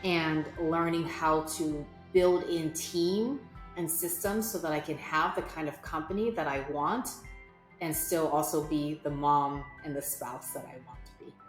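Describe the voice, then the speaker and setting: A woman's voice: deep voice, steady tone, measured pace, roomy sound, faint music behind. A woman in her forties, a guest entrepreneur, talking reflectively in an interview with frequent fillers and restarts. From United States. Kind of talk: conversational interview.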